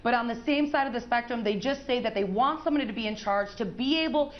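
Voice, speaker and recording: A woman's voice: on the high side, quick pace. Woman correspondent on a muffled line. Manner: repeats words often, earnest. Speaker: field correspondent. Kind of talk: live news report.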